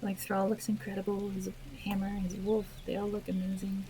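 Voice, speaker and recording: A woman's voice: mid-range voice, completely flat delivery, moderate pace, dark tone. Chatty woman doing let's play commentary. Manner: informal, chatty. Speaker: gaming video host. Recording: faint background noise, game ambience.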